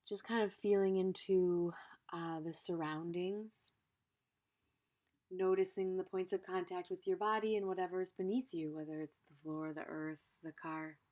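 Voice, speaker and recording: Female meditation guide, slightly low register, gentle and unhurried, improvised delivery with soft phrase endings. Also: fairly even intonation.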